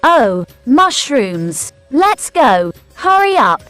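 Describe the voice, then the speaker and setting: A woman plays an excitable, gossipy farmer's wife from a storybook. Her voice is high and sing-song, drawn out slowly in naive wonder. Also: no room sound, faint music behind, full character voice. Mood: eager. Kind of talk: animated fairy tale character acting.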